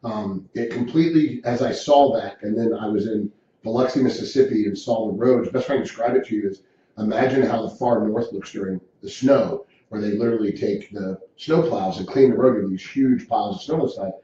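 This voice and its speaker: A middle-aged man answers interview questions in an earnest, descriptive way, his voice dark and mellow. He sits well away from the microphone, so the sound is dull and distant. A strongly echoing room surrounds him, with faint ambience underneath. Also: on the low side, fairly quick. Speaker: insurance agency owner, guest.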